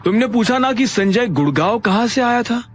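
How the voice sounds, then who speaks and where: Man voicing a grave, animated uncle confiding secrets. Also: very high-pitched, normal speed, soft background music, pitched above the performer's own voice. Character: older uncle, measured, grave family patriarch confiding secrets.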